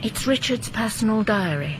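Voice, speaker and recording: A woman's voice: on the high side, lively intonation, moderate pace, background noise, close to an everyday voice. A woman playing a composed policeman's widow. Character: composed, perceptive middle-aged widow of a policeman. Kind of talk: radio drama acting.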